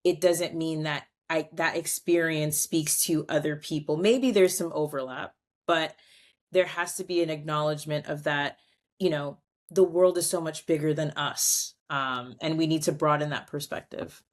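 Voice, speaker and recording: A woman's voice: low-pitched, moderate pace. A woman guest on a podcast interview, talking in a loose, reflective way, acting out quoted voices and using plenty of "like" fillers. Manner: animated, acts out quoted voices, many "like" fillers; reflective, long-winded explanations. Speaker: guest, culture strategist.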